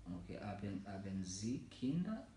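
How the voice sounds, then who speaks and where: A man in his twenties from Kenya, whose first languages are Mijikenda and Swahili, speaking careful learner's German with frequent pauses and fillers. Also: fairly low pitch, big pitch swings, warm tone, reverberant, a little hiss or hum. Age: 20s. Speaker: German learner in a practice interview. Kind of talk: slow, halting learner German with many fillers.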